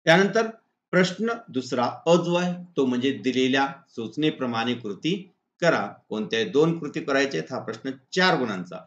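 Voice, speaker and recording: A man's voice: mid-range voice, animated, moderate pace, fairly bright tone. A man with a Marathi accent teaching in a methodical, instructional way, reading out exam questions and answers point by point. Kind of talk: scripted exam-prep lesson narration. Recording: echoey room, dull muffled audio.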